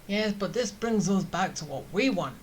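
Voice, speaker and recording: A man's voice: sits high, animated, moderate pace, a little hiss or hum. A man casually reading along and reacting as he plays a story-driven video game. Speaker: gamer commenting on playthrough.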